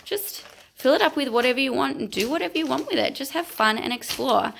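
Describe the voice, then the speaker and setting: A woman, chatting casually and self-mockingly as an art vlogger while going a little hoarse. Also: high-pitched, animated, brisk, fairly bright tone, a little hiss or hum. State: losing her voice. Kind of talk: casual vlog commentary.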